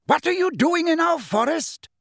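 A man's voice: very high voice, lively intonation, normal speed, a bit bright, dry recording, studio quality, voice pushed up, full character voice. A man voicing wild, aggressive male centaurs in a storybook, bellowing and confrontational. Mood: furious, threatening. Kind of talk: audiobook character voice.

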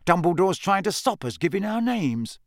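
Man as cocky teenage schoolboy, lively, raised pitch, mischievous, informal, storybook character. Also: moderate pace, gravelly, no room sound, studio quality, exaggerated voice. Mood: shrewd. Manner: informal, eager, scheming.

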